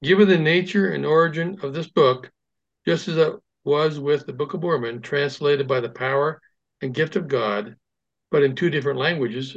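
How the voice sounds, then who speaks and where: Man reading aloud over dull audio. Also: fairly high pitch. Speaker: group participant reading aloud.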